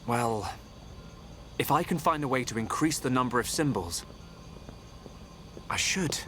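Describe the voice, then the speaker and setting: A man's voice: medium pitch, lively intonation, unhurried, fairly bright tone, slight background noise. A man with an English accent playing an earnest, thoughtful young inventor in a video game. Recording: orchestral music behind the voice.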